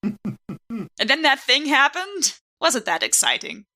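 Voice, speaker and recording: Bright, forceful woman chatting casually. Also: high-pitched, huge pitch swings, takes it slowly. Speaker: podcast cast member. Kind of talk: casual group chat.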